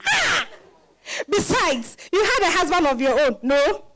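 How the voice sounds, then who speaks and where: A woman's voice: very high-pitched, big pitch swings, unhurried, a bit bright, exaggerated voice, rough recording. A woman playing a wry, scolding old grandmother with a Ghanaian accent in a dramatized reading, exclaiming and probing with rhetorical questions. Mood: scolding, amused. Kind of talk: dramatized stage-style reading.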